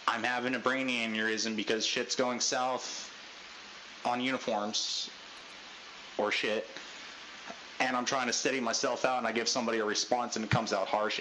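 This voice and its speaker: A man leading a group debrief in a casual pep-talk style, talking loudly with a lot of inflection. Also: slight background noise, poor audio. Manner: loud, high voice inflection. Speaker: unit leader giving a debrief.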